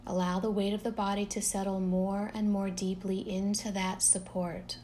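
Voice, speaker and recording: A woman leading a guided relaxation meditation in a calm, gentle, unhurried manner, leaving long pauses between phrases. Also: medium pitch, slight background noise.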